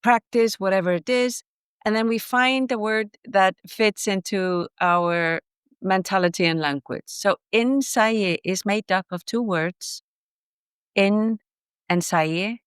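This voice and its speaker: A woman's voice: medium pitch, takes it slowly, clear, resonant tone, no echo, studio quality. A woman from Iceland whose first language is Icelandic, a guest author explaining ideas in a reflective, thoughtful way during a podcast interview.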